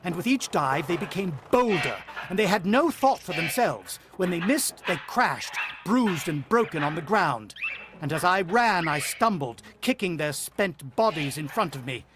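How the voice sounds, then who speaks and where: An actor playing a rattled, protective farmhand husband in a suspenseful, vintage-style radio drama. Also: male voice, sits high, sing-song, measured pace, noisy background. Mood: tense, breathless narration. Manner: dramatic, suspenseful first-person storytelling.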